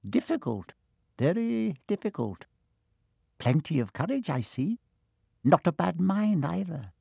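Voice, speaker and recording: A man voicing a wise old magical talking hat that sizes people up, speaking slowly in a drawn-out, musing way. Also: a little high, big pitch swings, vocal fry, a little mellow, slightly rough, no room sound, studio quality, voice pushed up, full character voice.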